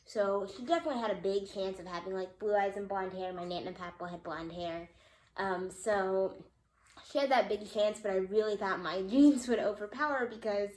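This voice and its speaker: A woman's voice: medium pitch, moderate pace, a little mellow. A young woman, a mother, giving a casual vlog update, a little congested and nasal, talking in a loose way full of ums and likes. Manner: chatty, lots of ums and likes. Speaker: vlogger. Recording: echoey room.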